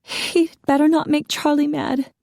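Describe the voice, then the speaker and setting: Kelsey, a shrill panicky young wife, as a woman puts her on. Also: sing-song, slow pace, warm tone, husky, no room sound, studio quality, full character voice. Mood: anxious. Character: frightened young battered wife.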